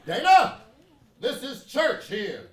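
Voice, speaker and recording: Man playing irascible old-time newspaperman, sardonic, theatrical radio-play delivery, wide swings in pitch, distant echoey hall. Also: wildly swooping pitch, slow pace, heavily acted. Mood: irritated, blustering. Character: irascible, cynical 19th-century American newspaper editorialist man. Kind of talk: live stage radio-play acting. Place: playhouse stage. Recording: echoey hall, distant mics, faint noise.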